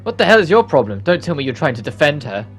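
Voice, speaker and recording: A man's voice: a little high, big pitch swings, quick pace, soft background music. A man voicing a hot-tempered, suspicious witch girl in a game cutscene, brash and confrontational. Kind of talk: reading game cutscene dialogue.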